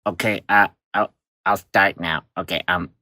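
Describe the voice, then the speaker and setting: A man's voice: low-pitched, fairly even intonation, normal speed, bright, forceful tone, no echo, pitched below the performer's own voice. A man playing a timid, anxious novice voiceover artist, hesitant and stammering, in a comic sketch. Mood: nervous, unsure.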